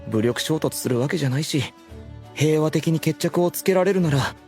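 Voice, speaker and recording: A man's voice: fairly high pitch, moderate pace, darkish tone, soft background music, close to an everyday voice. A man playing the casual, anxious inner voice of a skeleton overlord in an anime audio drama, musing wryly to himself. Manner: casual, muttering, wry.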